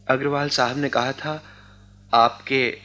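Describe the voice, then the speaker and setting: An actor playing a poor, humble young student in a radio play, hesitant and deferential. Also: male voice, medium pitch, little pitch movement, slow pace, bright, forceful tone, lo-fi sound. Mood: humble, earnest. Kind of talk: Hindi radio play acting.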